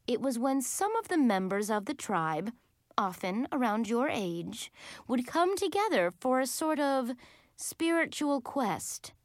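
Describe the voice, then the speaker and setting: A woman voicing a calm, earnest, dreamy hippie woman who guides children in a children's audiobook, speaking slowly and deliberately. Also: on the high side, no room sound, clean studio sound, voice pushed up. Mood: serene, instructive. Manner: soothing, earnest, slow and deliberate.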